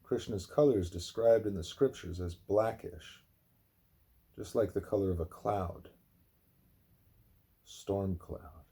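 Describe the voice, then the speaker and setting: Man, dark mellow tone, rather low pitch, husky, measured spiritual book narration. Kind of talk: read-aloud scripted narration.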